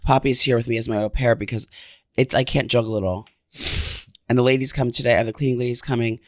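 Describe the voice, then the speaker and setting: A man's voice: mid-range voice, fairly even intonation, quick pace, no echo, studio quality. A man co-hosting a podcast in casual chat, stuffy with a cold and camp and playful. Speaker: podcast co-host.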